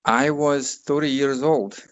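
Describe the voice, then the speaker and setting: A man in his sixties from Russia with a Russian accent, answering interview questions in halting non-native English. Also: medium pitch, fairly even intonation, no room sound, muffled recording. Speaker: guest.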